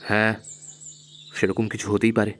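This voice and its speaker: An actor playing a doubtful, troubled young man in a horror audio drama, speaking gravely and hesitantly. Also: on the low side, completely flat delivery, moderate pace, a bit bright. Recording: clear music bed behind voice.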